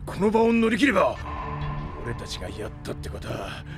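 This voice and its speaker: A gruff middle-aged starship captain, blustering and blunt, with a gravelly edge and a pitch that swings widely. He is hot-tempered, in sci-fi audio drama style, with prominent music behind him. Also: sing-song, brisk, exaggerated voice. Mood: urgent, scheming. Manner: rough blunt speech, blustering.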